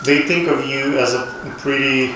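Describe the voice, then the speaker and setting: A man moderating an unscripted panel discussion, halting and searching for words as he speaks. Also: mid-range voice, steady tone. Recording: very echoey room, distant mic, clear noise.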